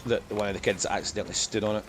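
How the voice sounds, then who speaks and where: A man with a fairly low voice talks casually as a gaming podcast co-host. He sounds informal and conversational. There is a faint layer of noise behind him. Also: racing. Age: adult, parent of young children. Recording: steady background noise.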